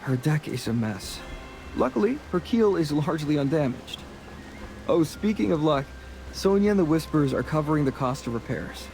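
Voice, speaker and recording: A charming rogue of the seas in a fantasy game. His voice sits on the higher side for a man, and he chats in a breezy, self-assured, conversational way, with music underneath. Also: big pitch swings, normal speed, vocal fry, darkish tone. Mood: breezy, friendly. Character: roguish, charming, chatty adult male sea adventurer.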